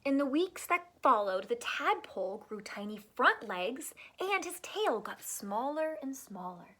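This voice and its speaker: High-voiced woman reading a storybook. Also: big pitch swings, unhurried, pushed and bright. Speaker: teacher reading aloud. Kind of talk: storybook read-aloud narration for children.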